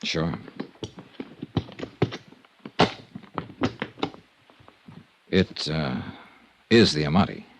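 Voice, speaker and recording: An actor playing a brisk, matter-of-fact insurance investigator in a vintage radio detective drama, clipped in his delivery. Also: extremely low pitch, lively intonation, dry recording, full character voice, low-quality recording. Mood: subdued, uncertain. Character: hard-boiled, brisk male insurance investigator narrating his case.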